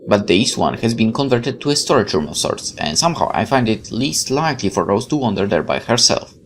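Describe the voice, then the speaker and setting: A gaming YouTuber reads visual novel text aloud and comments on it. The delivery is expressive, with very lively intonation, breaking off to exclaim and question the story. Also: medium pitch, a little fast, a little hiss or hum. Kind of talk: let's play commentary, reading game text aloud.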